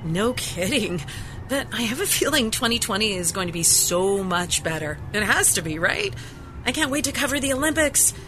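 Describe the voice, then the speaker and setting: A woman's voice: mid-range voice, animated, normal speed, background noise, lightly acted. A woman playing a burned-out, sarcastic TV news reporter in a scripted radio drama. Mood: weary, chatty. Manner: sarcastic, complaining.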